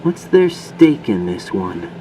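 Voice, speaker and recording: A man's voice: lowish voice, very animated, slow, recorded from a distance, noisy background. A man playing an earnest young special-forces agent in a video game, reporting tersely and asking questions of his commander. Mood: alert, questioning. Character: young male special-forces operative, earnest rookie infiltrator.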